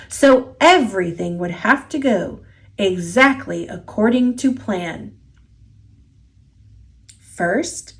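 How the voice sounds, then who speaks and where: A woman reading a children's picture book aloud as a storyteller, expressive and deliberate, drawing out key words. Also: medium pitch. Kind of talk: children's story read-aloud, scripted narration.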